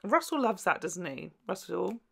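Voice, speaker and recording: A woman hosting a video, giving casual, gushing and expressive commentary in a campy way. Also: lowish voice, moderate pace, gravelly, slightly rough. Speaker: YouTube host reviewing a children's TV show.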